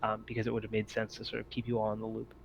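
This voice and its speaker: A man improvising narration as game master of a tabletop roleplaying game, often halting as he thinks aloud. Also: on the low side, monotone, creaky, slight background noise, poor audio.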